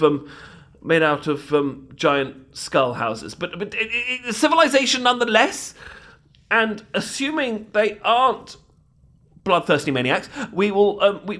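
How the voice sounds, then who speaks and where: A man's voice: high voice, a bit bright, voice pushed up. A British man playing a bumbling, lovestruck knight in a comedic fantasy audio roleplay, stammering politely and apologetically. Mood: hopeful, awkward. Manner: stammering, flustered, apologetic, repeats words.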